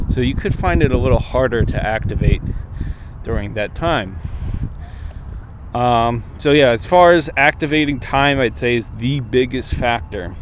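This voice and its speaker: American man vlogging outdoors over background noise. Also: mid-range voice. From United States. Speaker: amateur radio vlogger. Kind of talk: casual instructional vlog to camera. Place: outdoors, open park path beside water. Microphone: camera held at arm's length. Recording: outdoor recording with traffic and machinery noise. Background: jets, vehicles, urban outdoor noise.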